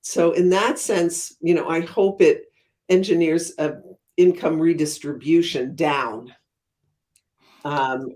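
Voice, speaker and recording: A woman's voice: deep voice, darkish tone. Woman, expert interview guest. Conversational explainer, thoughtful stop-and-start delivery, emphatic on key terms. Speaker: guest academic, economist and author.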